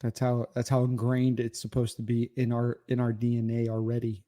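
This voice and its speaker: A man's voice: medium pitch, completely flat delivery, fairly quick, warm tone. A man co-hosting a podcast who talks bluntly and profanely in casual political banter. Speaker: podcast co-host.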